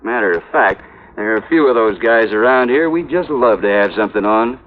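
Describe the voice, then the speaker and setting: An actor playing a brash, dismissive police sergeant in a 1950s radio crime drama, talking in a slangy, cocksure way. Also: male voice, lowish voice, fairly quick, lightly acted. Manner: slangy, cocksure, brushing off objections. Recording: tinny, slightly noisy vintage radio recording.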